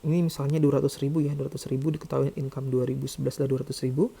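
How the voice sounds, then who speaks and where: Lecturer explaining stuff step by step, really dark mellow voice, kinda gravelly. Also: medium pitch, level tone, racing. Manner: didactic, checks with "ya" and "oke". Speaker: accounting lecturer. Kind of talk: explanatory lecture, working through calculations.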